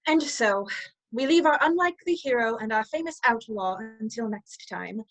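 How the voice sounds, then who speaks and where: A woman's voice: on the high side, expressive, normal speed, fairly bright tone, poor audio. A woman giving scripted narration as the announcer of a radio play.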